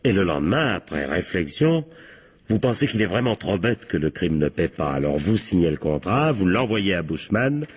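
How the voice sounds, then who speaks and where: Hard-nosed police captain in a radio crime play, really low husky voice, sardonic, keeps pushing. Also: male voice, big pitch swings, normal speed, exaggerated voice. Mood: accusing, relentless. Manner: sardonic, pressing, authoritative. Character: male police captain, sardonic, relentless interrogator.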